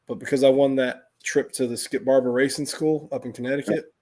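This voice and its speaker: A man's voice: mid-range voice, level tone, fairly quick, warm tone. A middle-aged man with an American accent chats casually on a livestream, telling stories in a rambling way and often interrupting himself. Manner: rambling, self-interrupting storytelling.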